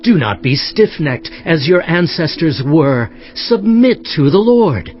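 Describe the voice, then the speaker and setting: An earnest king of scripture played by a man makes a solemn, exhorting proclamation in an audio drama. His fairly high male voice rises and falls in lively, declamatory sweeps. The sound is slightly muffled. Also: slow pace. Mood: urgent exhortation. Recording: slightly muffled, faint music underneath.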